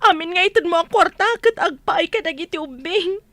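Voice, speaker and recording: A woman's voice: very high-pitched, expressive, fairly quick, a bit bright, no room sound. An actress playing a long-suffering, plaintive and tearful wife and mother in an Ilocano radio drama, with an Ilocano accent. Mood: tearful, reproachful.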